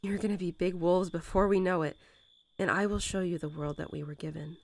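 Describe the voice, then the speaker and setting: A woman's voice: fairly low pitch, steady tone, lightly acted. A woman playing a young mother wolf who talks tenderly and without hurry to her newborn pups, in the style of a bedtime roleplay audio. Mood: loving, reassuring. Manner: tender, unhurried, softly chuckling, hesitant informal phrasing. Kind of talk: soothing bedtime roleplay audio, intimate storytelling.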